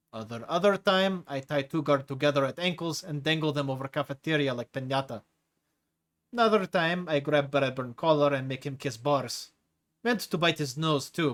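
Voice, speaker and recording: A cocky, hulking clawed prisoner growls out broken English in a thick accent, as a male narrator puts him on. His pitch swings wide when he gets worked up, and he savors every boast. A storybook creature voice. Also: natural voice. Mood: menacing. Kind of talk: audiobook character voice.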